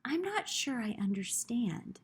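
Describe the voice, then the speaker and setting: A woman's voice: a little high, big pitch swings, a little slow, breathy edge, pitched above the performer's own voice, heavily acted. Storybook wooden puppet, little male played by female reader: timid, questioning, self-doubting. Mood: puzzled.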